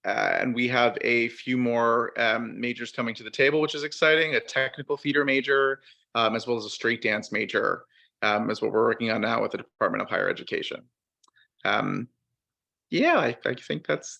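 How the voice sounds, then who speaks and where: Bright-voiced man introducing himself. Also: mid-range voice, brisk, vocal fry. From Miami. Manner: hesitant, many uh fillers, friendly. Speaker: commission vice chair, college president. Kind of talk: self-introduction at a meeting.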